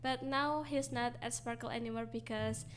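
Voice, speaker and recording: Indonesian woman teacher on radio, patient explainer, a bit high-pitched. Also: fairly even intonation, normal speed, a loud crowd. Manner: explains patiently, switches between English and Indonesian. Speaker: English course teacher. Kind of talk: radio interview guest.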